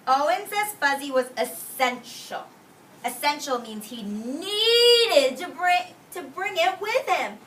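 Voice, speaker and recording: A woman preschool teacher reading aloud expressively to young children, explaining words simply and giving gentle classroom directions. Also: sits high, expressive, takes it slowly. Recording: roomy, distant microphone, faint noise.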